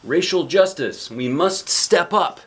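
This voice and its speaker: Man imitating an emphatic, raised-pitch TV newsreader. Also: expressive, takes it slowly, a bit bright, breathy edge, exaggerated voice. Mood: urgent, formal. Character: television newsreader delivering breaking news, male or female. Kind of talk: formal news bulletin within a picture book.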